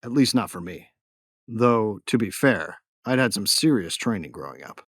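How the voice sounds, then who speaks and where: Man playing low-voiced, cocky young bounty hunter. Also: lively intonation, normal speed, no room sound, clean studio sound. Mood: wry narration. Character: young male bounty hunter, cocky, wry, laid-back narrator. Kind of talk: first-person audio drama narration.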